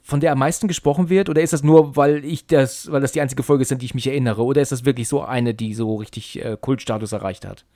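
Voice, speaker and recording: A man's voice: mid-range voice, fast talker, hardly any pauses, dark tone, no echo, studio quality. A man chatting casually as an interviewer, often searching for words as he goes. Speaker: podcast interviewer.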